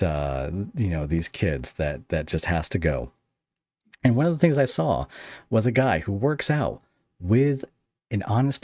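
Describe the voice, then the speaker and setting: A man's voice: low voice, darkish tone, no room sound. A man hosting a casual interview, talking in a rambling, stop-start way. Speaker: podcast host interviewing a guest.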